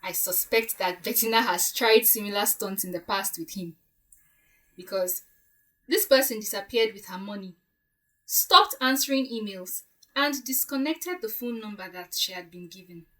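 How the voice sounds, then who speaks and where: A woman playing a shrewd, assured woman who deliberately lays out a case in a story drama. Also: mid-range voice, pushed and bright, distant mic, lightly acted, rough recording. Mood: assured, accusing. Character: shrewd woman calmly exposing a plot.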